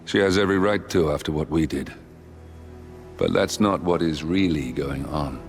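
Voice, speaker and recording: A man's voice: a bass voice, normal speed, soft background music. An actor playing a firm, steadying male ally in a video game, talking in a blunt, urging way. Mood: firm, reassuring. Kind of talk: cinematic video game acting.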